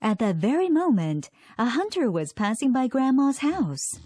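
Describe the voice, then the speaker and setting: A woman's voice: sits high, sing-song, normal speed, breathy edge, dry recording. A woman narrating a scripted fairy tale for children as a storyteller. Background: loud music.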